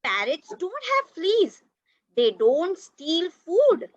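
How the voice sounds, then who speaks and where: A woman's voice: very high-pitched, huge pitch swings, slow, dry recording, muffled recording, full character voice. A woman voicing an eager young boy who pleads enthusiastically for a pet, in a reader's theatre reading. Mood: pleading.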